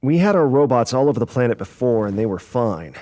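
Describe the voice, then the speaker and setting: Male actor for matter-of-fact spaceship tech, mid-pitched, explanatory delivery, audio drama. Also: animated, mellow, lightly acted. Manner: measured, explanatory.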